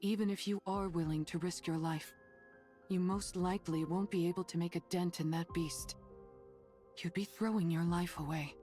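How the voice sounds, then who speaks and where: A woman playing a stern, protective female android soldier in an anime game dub, speaking in a measured, grave, firm way. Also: fairly low pitch, steady tone, warm tone, faint music behind, lightly acted. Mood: stern, resigned.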